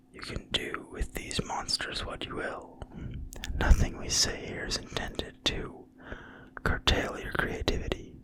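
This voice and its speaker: Asmr reader whispering super slow, breathy and quiet, crisp sharp hiss on the words. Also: rough recording. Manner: quiet, slow, deliberate phrasing. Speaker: ASMR video host and reader. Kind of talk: whispered ASMR reading of a game manual.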